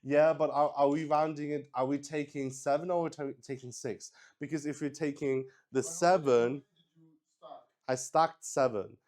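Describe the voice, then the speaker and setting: A man hosting a solo tabletop roleplaying game in casual, halting live-stream chat, thinking aloud and repeating words. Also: fairly high pitch, steady tone, a little mellow. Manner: swears casually.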